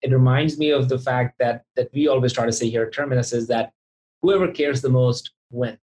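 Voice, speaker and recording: A podcast host speaks at a medium pitch in a conversational interview style. The delivery is earnest and encouraging, circling back to repeat key phrases as it sums things up. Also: fairly quick, darkish tone, low-quality recording. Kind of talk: conversational interview, reflective recap.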